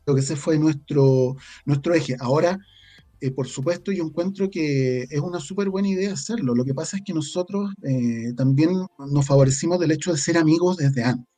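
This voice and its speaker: A Chilean man speaking casually as an interview guest, halting and repeating words as he searches for his phrasing. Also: a little high, warm tone, soft background music. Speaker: interview guest, audio drama writer.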